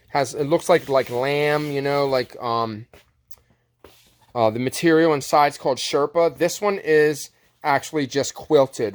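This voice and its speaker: Rambling, laid-back man showing thrift finds. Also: fairly high pitch. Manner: rambling, trailing off and correcting himself; laid-back slangy talk. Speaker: thrift reseller showing a haul. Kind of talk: casual unscripted show-and-tell.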